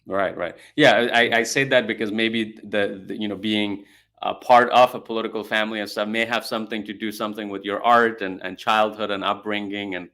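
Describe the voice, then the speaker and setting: Male host, lowish voice with a crisp bright edge, informal conversational questioning. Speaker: interview host. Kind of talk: conversational interviewing.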